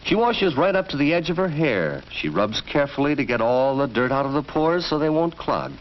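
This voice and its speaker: A man's voice: mid-range voice, big pitch swings, brisk. An American man narrating a 1940s educational film from a script, in an instructive, storytelling manner. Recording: dull vintage film soundtrack, faint noise.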